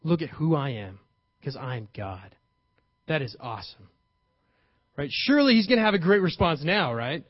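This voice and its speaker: A young adult man preaching a sermon, lively and playful, acting out paraphrased dialogue with sudden emphasis. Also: a little high, moderate pace, long pauses, no echo. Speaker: pastor. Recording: dull, slightly lo-fi, dry sound.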